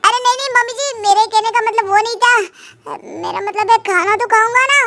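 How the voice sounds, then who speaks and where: A woman's voice: extremely high pitch, fairly quick, noisy background. A woman voicing a lazy, whining, cheeky son-in-law in a cartoon moral story. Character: lazy, whining live-in sons-in-law making excuses. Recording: music behind the voice.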